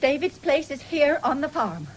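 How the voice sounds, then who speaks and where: A woman's voice: high-pitched, big pitch swings, normal speed. A woman playing a nagging, anxious middle-aged farm mother in a radio drama. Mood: scolding, hurt. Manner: nagging, sharp-tongued. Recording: 1970s radio broadcast with background noise.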